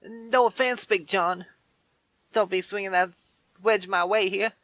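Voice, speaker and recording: A man playing an excitable, countrified Old West working fellow in a comic dub. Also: sits high, measured pace, no echo. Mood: cheeky.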